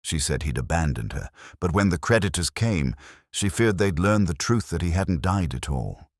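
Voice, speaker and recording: Mystery-story suspect, played by a male narrator: frightened, guilty, pleading man, very low pitch, slightly rough. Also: lively intonation, normal speed, dry recording, clean studio sound. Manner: subdued, pleading.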